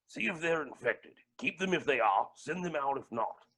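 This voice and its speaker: A man playing a smug, sinister billionaire villain in a staged comedy reading, theatrical and oily with a raspy voice. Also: on the high side, animated, normal speed, sharp tone. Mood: hushed scheming. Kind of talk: staged reading of a comic play.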